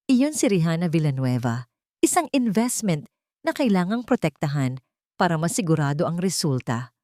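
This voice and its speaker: Female Filipino narrator for romantic audio fiction: dramatic storyteller, expressive pitch movement, dry studio-like sound. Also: no echo.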